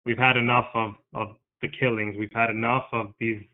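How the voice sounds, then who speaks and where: A man in his thirties from New York speaks as an interview guest. His mid-range voice is earnest and halting, pausing to find words. It comes through a narrow, tinny phone-like line. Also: medium pitch, level tone. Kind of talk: candid interview talk.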